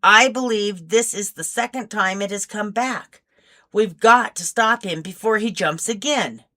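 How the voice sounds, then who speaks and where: Lady doing a grumpy older guy agent in a story, formal n stiff, sharp bright tone. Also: mid-range voice, a little slow, voice pushed up. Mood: businesslike.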